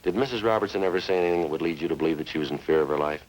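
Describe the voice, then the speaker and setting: A terse police detective from a 1950s crime drama, played by a male actor, with a very low voice and a brisk delivery. He is deadpan and businesslike, sticking to plain, matter-of-fact phrasing. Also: natural voice, rough recording. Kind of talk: 1950s police procedural acting and narration. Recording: 1950s television soundtrack.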